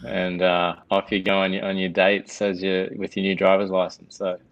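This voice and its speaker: Relaxed Australian man, low voice, slightly dull call audio. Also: normal speed. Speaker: guest. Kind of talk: casual chat. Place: video call.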